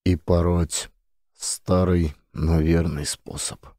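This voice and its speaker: A man's voice: low-pitched, level tone, slow pace, mellow, dry recording, studio quality. A man voicing an exasperated, resigned father of a teenager, stern and grumbling, in an audiobook.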